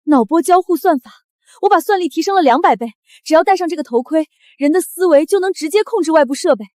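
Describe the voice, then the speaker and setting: A woman's voice: very high voice, lively intonation, fast, warm tone, no echo, studio quality. A woman playing a brilliant, proud, prickly young inventor in a short drama.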